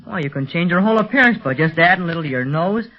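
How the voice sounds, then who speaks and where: A male actor playing an eager, plucky American teenage boy in a 1930s radio adventure serial, excitable and earnest. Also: on the high side, racing. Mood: eager, pleading. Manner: excitable, earnest, boyish exclamations. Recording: dull, band-limited 1930s radio recording.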